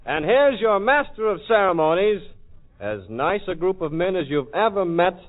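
A man's voice: high voice, animated, normal speed, phone-quality sound. A man announcing a 1940s American radio show with hip, jive-flavored showmanship. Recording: thin vintage 1940s radio recording.

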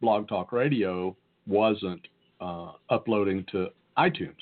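A man's voice: on the low side, slow pace. A man with a Southern US accent chatting casually as a podcast co-host, sharing tech tips. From Southern United States. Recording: phone-like, narrow-band audio.

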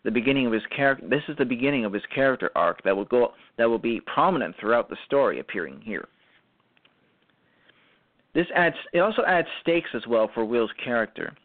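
A man hosting a radio show, speaking in a halting way that often restarts and repeats phrases as he gives commentary. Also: medium pitch, animated, no room sound. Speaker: radio show host and writer-performer. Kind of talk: show commentary, semi-prepared talk. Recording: muffled lo-fi audio.

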